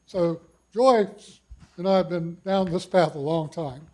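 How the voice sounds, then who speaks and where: A man's voice: a little high, unhurried, warm tone. An older man with a big voice, telling his own cancer story in a folksy, self-deprecating way to a conference audience. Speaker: cancer survivor speaker. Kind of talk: personal story talk. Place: conference hall.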